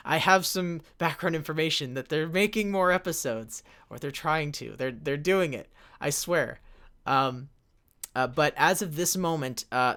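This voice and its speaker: A man's voice: mid-range voice, fairly bright tone. A man presenting a convention panel in an informal way, recounting a history with hesitant starts and emphatic bursts.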